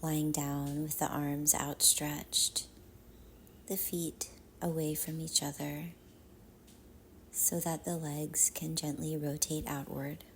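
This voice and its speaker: Woman, meditation guide, soft breathy half-whisper, soothing, narrow even pitch, long pauses, faint background ambience. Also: a little hiss or hum.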